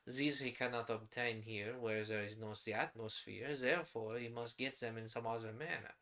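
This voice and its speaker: A learned old French professor with a thick put-on accent, as a man reads him aloud, explaining carefully in halting, formal phrases. The voice is bright and clear, slowing over each word. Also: medium pitch, steady tone. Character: pompous old male French chemist professor.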